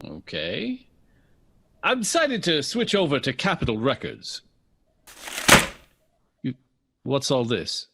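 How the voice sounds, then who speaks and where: A man playing a suave, domineering older jazz bandleader in a period audio drama, husky and smooth-talking with showy, pompous phrasing. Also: medium pitch, wildly swooping pitch, takes it slowly, long pauses, heavy background noise, full character voice. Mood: casual, dismissive. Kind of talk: period radio drama acting.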